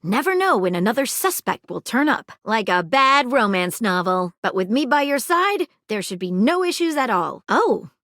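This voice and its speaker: A woman's voice: on the high side, very animated, normal speed, few pauses, a bit bright, dry recording, clean studio sound. A woman voicing an eager, prim detective magnifying glass in a video game, with arch, wordy, old-fashioned sleuth talk and a suspicious, wry temper. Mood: wry, helpful. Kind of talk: theatrical video game character acting.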